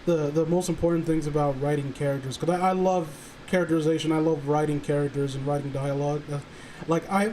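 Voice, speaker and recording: A man chatting casually on a stream, halting and rambling as he earnestly works through his thoughts. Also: on the high side, darkish tone, poor audio. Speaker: stream participant. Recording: faint background noise.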